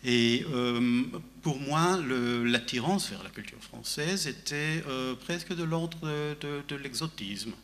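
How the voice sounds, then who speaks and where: A man's voice: mid-range voice, takes it slowly, hardly any pauses, a bit bright. A man, a panel guest speaking thoughtfully at a literary festival, with many fillers and restarts. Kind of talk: panel discussion. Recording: reverberant hall.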